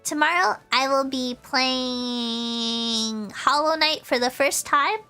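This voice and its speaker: A woman's voice: a little high, bright, forceful tone. A woman livestreamer chatting casually to her viewers in a bubbly, giggly way, often repeating her phrases. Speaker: VTuber livestreamer.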